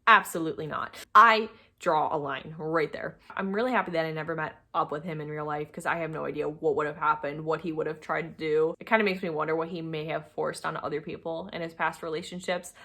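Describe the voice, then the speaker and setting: A young adult woman tells a personal story in a chatty, informal way. Her voice sits a little low for a woman. She slips into quoted conversations and exasperated asides as she goes. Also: steady tone, a little fast, hardly any pauses, sharp tone. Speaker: storytime video creator.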